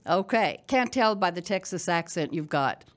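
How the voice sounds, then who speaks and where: A woman's voice: lowish voice, expressive, moderate pace. An older woman hosting a podcast, speaking conversationally to her audience and guest. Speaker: podcast host. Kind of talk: conversational interview and sponsor read.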